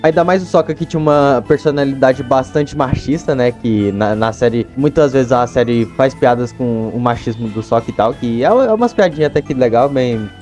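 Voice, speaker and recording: A man's voice: mid-range voice, normal speed, few pauses, a little mellow, highs cut off, faint music behind. A young man with a Brazilian accent giving casual, slangy, chatty commentary as a video host.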